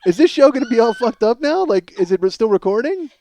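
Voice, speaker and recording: A man hosting a comedy podcast in a casual, rambling, profane and excitable ranting monologue. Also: very high voice, fast, slight background noise. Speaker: podcast host.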